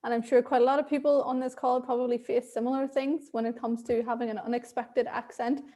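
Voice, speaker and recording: A woman's voice: a little high, flat delivery, fairly quick, mellow. A woman with an Irish accent, a guest speaking candidly on a video call, laughing often and repeating words as she thinks. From Ireland. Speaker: interview guest. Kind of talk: casual video-call conversation.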